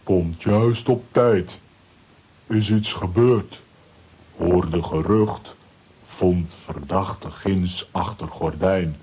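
A terse, slow-witted manservant from a spooky radio play for children. He drags out clipped fragments extremely slowly in a low, husky voice. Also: mellow, full character voice, poor audio. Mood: stolid. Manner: clipped telegram-like phrases without pronouns, deliberate.

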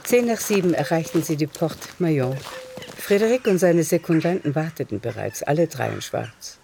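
A man's voice: fairly high pitch, animated, moderate pace, creaky, dark tone, slight background noise. A man narrating a literary radio drama from a script.

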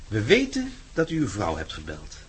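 An actor in a radio play playing a menacing village cult zealot who taunts with smug, mock politeness. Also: male voice, fairly low pitch, huge pitch swings, normal speed, husky, heavily acted.

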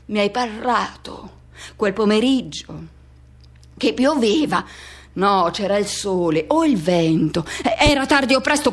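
A woman acting a radio theatre monologue as a yearning, tormented man recalling first love, in short, halting, insistent repeated phrases. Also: medium pitch, husky, full character voice. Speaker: actor.

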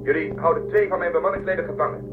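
An actor playing a formal, matter-of-fact, commanding starship captain who threatens coolly in a science-fiction radio play. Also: male voice, fairly high pitch, fairly even intonation, very fast, phone-quality sound, natural voice. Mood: threatening. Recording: distant, band-limited transmission with music behind.

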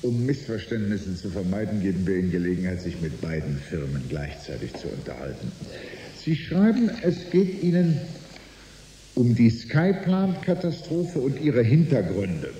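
An actor playing a controlled, probing corporate official in a radio drama, formal and deliberate. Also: male voice, fairly low pitch, big pitch swings, mellow, slight background noise. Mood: coolly probing. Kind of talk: German radio drama acting. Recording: roomy interior with faint ambience.

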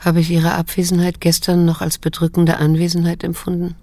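An actress playing a restrained, wounded grown daughter in a radio drama, measured and introspective, with a creaky voice. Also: female voice, fairly low pitch, monotone, mellow, no echo, close to an everyday voice. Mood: reflective, sorrowful. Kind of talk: radio drama monologue and dialogue.

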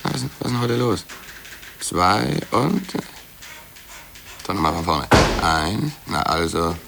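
An actor playing an irritable, busy office clerk who grumbles, stammers and counts aloud in a 1950s German radio drama. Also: male voice, sits low, animated, unhurried, vocal fry, exaggerated voice. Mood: muttering, absorbed. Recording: noisy, clicky old cassette radio recording.

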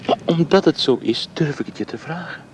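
A man playing a tender young painter in love, speaking softly and often in a whisper in a radio drama. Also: fairly high pitch, lively intonation, fairly quick, slight background noise, close to an everyday voice. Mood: pleading. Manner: soft, intimate, often whispering. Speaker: suitor Jaap.

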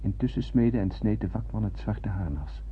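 Een acteur die in een hoorspel een ernstig, bezorgd bemanningslid van een ruimteschip speelt en plechtig, poëtisch vertelt. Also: male voice, lowish voice, animated, lightly acted. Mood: solemn, brooding. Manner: solemn, deliberate, poetic. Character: grave, troubled male spaceship crewman narrating his tale. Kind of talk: literary radio drama narration. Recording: dull old radio-play recording, faint hiss.

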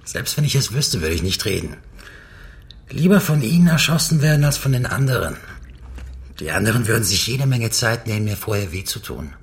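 An actor playing a shady, irritable truck driver in a radio crime drama, curt, defiant and evasive. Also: male voice, fairly low pitch, very animated, brisk, breathy edge, full character voice. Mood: defiant, resigned.

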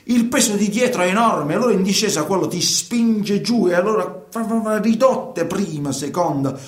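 A troubled, middle-aged trucker in a roomy, echoing radio play. His speech is halting and colloquial, circling back on phrases as he unburdens himself in a theatrical monologue. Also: sits high, expressive. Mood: agitated, intense. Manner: hesitant, halting, repetitive, colloquial. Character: troubled middle-aged male truck driver, storyteller confessing to a priest.

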